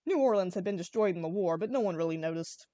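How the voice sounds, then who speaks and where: Woman narrating goofy fanfic, expressive, pitch all over, dry sound. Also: quick pace, no echo. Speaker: story reader. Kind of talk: amateur comic story narration.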